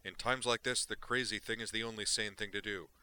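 A man's voice: on the low side, fairly even intonation, fairly quick, pushed and bright, clean tone, voice pushed up. A man voicing a manic, intense male journalist in an audiobook, talking with driving emphasis.

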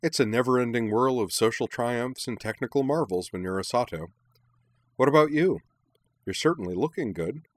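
An exuberant young woman racer from an audiobook, as performed by a male narrator. The voice sits well above a man's usual talk and gushes with playful, theatrical excitement. The sound is dry and close. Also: medium pitch, moderate pace, no room sound, voice pushed up, lightly acted. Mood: cheerful surprise.

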